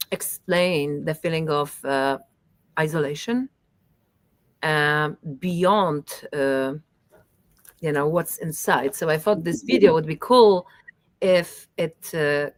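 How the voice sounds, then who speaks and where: A woman whose first language is Polish, talking casually as an interview guest, halting with many fillers. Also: low-pitched, no echo. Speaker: musician guest.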